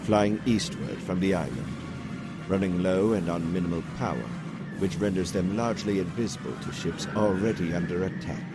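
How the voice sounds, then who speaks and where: A very deep male actor's voice for a calm, calculating officer in a sci-fi comic dub. He speaks formally and precisely, every phrase measured. Music plays clearly behind him. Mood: cool, analytical. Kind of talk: scripted comic dub acting.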